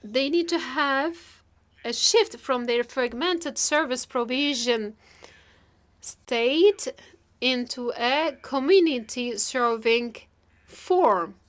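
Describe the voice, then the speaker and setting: A woman with a high voice interprets a formal speech live. She delivers it piece by piece, halting between short phrases and stretching words as she goes. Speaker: simultaneous interpreter.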